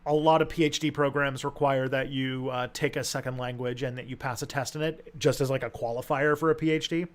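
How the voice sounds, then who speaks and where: A man from Canada hosting a casual livestream chat, talking loosely with lots of fillers and pauses. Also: medium pitch, steady tone. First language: English. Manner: chatty, hesitant, many fillers. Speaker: host.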